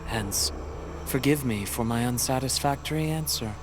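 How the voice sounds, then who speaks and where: An actor playing a courtly, calmly menacing villain in a video game, formal and theatrical, a devoted ancient follower. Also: male voice, medium pitch, normal speed, over music, close to an everyday voice. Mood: courteous, measured. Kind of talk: video game character acting.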